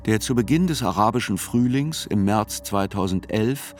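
An actor as a male court reporter narrates a trial for a radio play. His voice is low, his delivery measured and articulate, with faint music underneath. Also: expressive, close to an everyday voice. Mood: measured, reflective. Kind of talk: scripted literary reportage narration. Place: studio.

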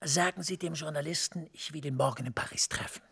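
An actor playing a calculating male EU director general, measured and authoritative, dropping into hushed asides. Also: on the high side, gravelly, very noisy. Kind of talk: German TV drama acting.

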